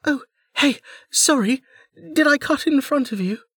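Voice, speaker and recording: A woman's voice: high voice, animated, a little slow, fairly bright tone, no echo, clean studio sound, pitched above the performer's own voice. A narrator voicing a cheerful, chatty young woman who is new and eager to make friends. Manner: bubbly, apologetic, eager.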